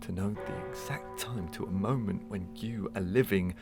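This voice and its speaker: Deep-voiced, bitter condemned murderer. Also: male voice, normal speed, gravelly, over loud music. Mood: brooding, defiant. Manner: brooding, theatrical, archaic diction. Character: proud, bitter condemned male murderer awaiting the gallows. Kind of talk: Victorian melodrama soliloquy.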